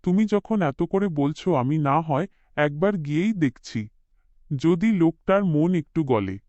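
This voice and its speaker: A man's voice: a little high, expressive, normal speed, darkish tone, dry recording, close to an everyday voice. A man voicing a desperate poor working father in a cartoon, deferential and sorrowful, in a dull recording. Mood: weary, sorrowful. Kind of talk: cartoon voice acting.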